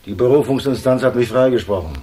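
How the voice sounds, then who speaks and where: An actor playing a condescending, menacing crime boss in a German radio thriller, curt, commanding and sardonic. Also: male voice, mid-range voice, lively intonation, fast, mellow, slightly rough. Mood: dismissive.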